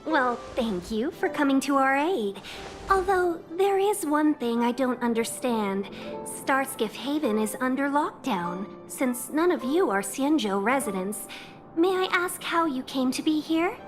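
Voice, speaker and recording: A woman's voice: high-pitched, very animated, measured pace, over music. A woman voice actor playing a courteous, silver-tongued young merchant guild envoy in a video game, speaking in formal, flowery phrases. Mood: courteous, probing. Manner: formal, polite, flowery speech.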